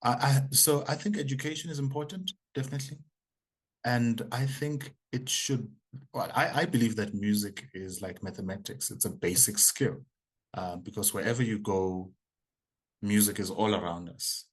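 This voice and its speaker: A South African man in his forties, whose first language is isiZulu, answers interview questions in a somewhat low voice. He talks thoughtfully and haltingly, stumbling over and repeating words as he thinks. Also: breathy edge. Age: 40s. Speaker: choral conductor and composer, interview guest.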